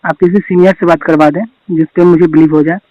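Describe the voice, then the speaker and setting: Young male student on a recorded call, high voice, dry sound, deferential yet pushy. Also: flat delivery, quick pace, dry recording, muffled recording. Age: young adult. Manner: polite but insistent, says sir constantly. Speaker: student answering a phone call. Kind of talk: phone conversation.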